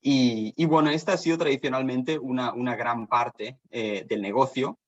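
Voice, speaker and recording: A man's voice: medium pitch, fairly even intonation, normal speed, muffled recording. A man from Barcelona, half Danish, with a Spanish accent, giving a seminar talk as a guest consultant, with many fillers and restarts. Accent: Spanish from Spain. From Spain (Barcelona), half Danish. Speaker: guest lecturer, sustainability consultant. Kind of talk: online seminar presentation. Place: office in Copenhagen.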